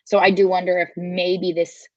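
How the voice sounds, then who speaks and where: A woman's voice: fairly low pitch, flat delivery, moderate pace, a little mellow, no echo, lo-fi sound. A woman giving casual, tentative sports analysis as a podcast panelist.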